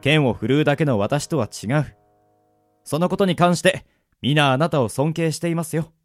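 A man playing an easygoing middle-aged swordsman mentor in a radio drama, moving between polite formality and gruff casual talk. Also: on the high side, moderate pace, no echo, soft background music, lightly acted. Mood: composed, courteous. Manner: switches between smooth polite formality and rough casual drawl. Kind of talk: anime-style radio drama acting.

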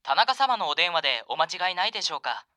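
A man's voice: high voice, sing-song, fast, bright, forceful tone, no room sound, studio quality, full character voice. A man voicing a mysterious, overly polite phone caller in an amateur radio drama. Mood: formal. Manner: formal, ceremonious.

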